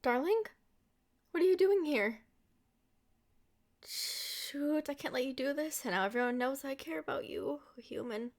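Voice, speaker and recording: A woman plays a doting, possessive vampire girlfriend in an intimate ASMR roleplay. Her voice is fairly high and youthful, with a sweet, playfully expressive delivery aimed straight at her beloved. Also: a little slow, long pauses. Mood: alarmed, worried. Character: centuries-old, young-looking vampire girlfriend, doting and possessive.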